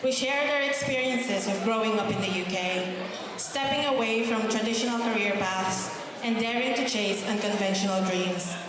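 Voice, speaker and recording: A woman in her thirties from the Philippines giving an earnest, warm prepared speech as a founder at a gala dinner, into a PA microphone. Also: mid-range voice, moderate pace. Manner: warm, earnest, with light self-deprecating laughs. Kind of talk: prepared speech to a banquet crowd. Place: large hotel ballroom. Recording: echoey hall with crowd chatter.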